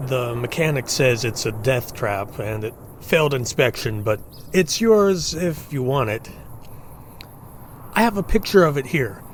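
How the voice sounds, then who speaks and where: A man's voice: medium pitch, animated, measured pace, slight background noise, close to an everyday voice. A man playing a timid, awkward agency employee with a sheepish, hesitant manner in a sci-fi comedy audio drama.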